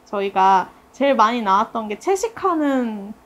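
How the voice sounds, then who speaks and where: A woman with a fairly high voice leads a relaxed group conversation. She talks in a chatty, storytelling way, as if among colleagues she knows well. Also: moderate pace, lo-fi sound. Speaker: interviewer and project member leading the discussion. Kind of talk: casual group discussion.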